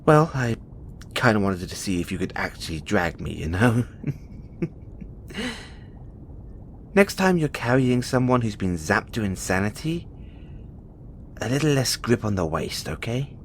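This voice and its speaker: A man playing a cocky, playful, imprisoned experiment who calls himself an emperor, with halting, teasing, flirtatious delivery in an audio roleplay. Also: on the low side, big pitch swings, a little hiss or hum. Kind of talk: audio roleplay acting addressed to the listener.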